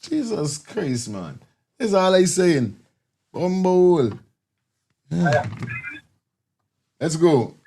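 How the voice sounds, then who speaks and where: A man's voice: high-pitched, very animated, extremely slow, gravelly, pauses often, dark tone, husky. A man with a Guyanese Creole accent, co-hosting a casual chat, talking loosely with stammering restarts. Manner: loose, rambling, stammering restarts, laughs often. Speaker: co-host.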